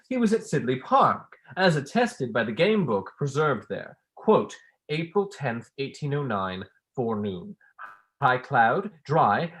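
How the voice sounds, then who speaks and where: Man acting pompous, animated male academic. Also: medium pitch. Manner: declamatory, oratorical. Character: pompous, easily wounded male academic reading his lecture aloud. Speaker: student actor. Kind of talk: staged play reading.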